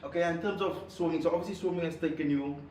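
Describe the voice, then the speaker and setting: A man's voice: a little high, fairly even intonation, very fast, a little mellow. A man hosting a casual podcast interview, Zambian accent, hesitant with many ums and laughs. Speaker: podcast host. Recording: echoey room, mic at a distance, background noise.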